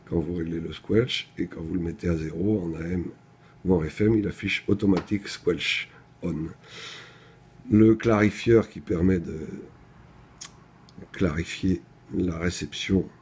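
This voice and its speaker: A man's voice: low voice, darkish tone, slightly rough. A man presenting a product in an unscripted technical demonstration, explaining things methodically, step by step.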